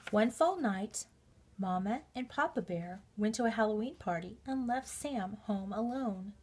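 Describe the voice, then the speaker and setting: A woman reading a children's storybook aloud with measured, expressive narration. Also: mid-range voice, husky. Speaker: storybook reader.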